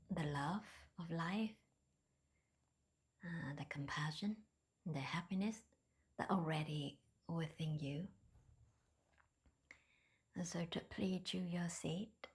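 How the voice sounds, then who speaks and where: A woman leading a guided meditation in a soft, breathy near-whisper, with gentle, hesitant non-native phrasing. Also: sits low. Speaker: meditation teacher.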